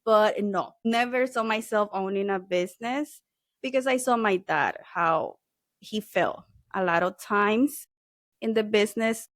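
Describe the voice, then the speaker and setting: A woman's voice: mid-range voice, unhurried, no room sound. Woman, dry close sound. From El Salvador. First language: Spanish. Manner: friendly, mixes in Spanish words. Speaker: guest, SEO consultant. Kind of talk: casual interview talk.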